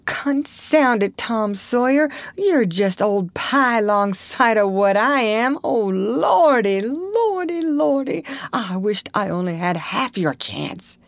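A woman's voice: medium pitch, lively intonation, a little slow, no room sound, pitched above the performer's own voice. A woman voicing a timid, woeful ragamuffin country boy in a storybook, plaintive and anxious.

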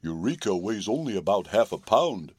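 A man's voice: fairly low pitch, sing-song, normal speed, no room sound. A man voicing a weary, scornful old male horse in a storybook reading, grumbling as he talks.

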